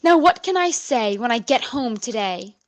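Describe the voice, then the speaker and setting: A woman with a notably high voice performs as a guest storyteller. She reads expressively, with a rhythmic storybook delivery meant for children. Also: animated, normal speed. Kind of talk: dramatic reading of a children's story.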